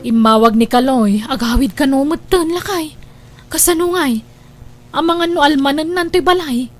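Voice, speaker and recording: An actress playing a hard-up, combative older sister in an Ilocano radio drama, emotional and argumentative. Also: female voice, sits high, normal speed, soft background music, natural voice. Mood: resentful.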